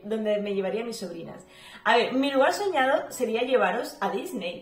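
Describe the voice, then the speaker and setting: A woman, chatting casually to camera in a home Q&A vlog, talkative and full of fillers. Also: a little high. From Cuba. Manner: chatty, talks a lot, frequent fillers. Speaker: home vlogger. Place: bedroom at home, indoors. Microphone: camera at desk distance. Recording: echoey room with faint music.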